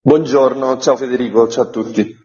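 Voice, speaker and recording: A man's voice: mid-range voice, steady tone, normal speed, darkish tone, highs cut off. A man speaking as an arts festival director in an interview, explaining things informatively in long, flowing sentences. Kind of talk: informational radio interview answers.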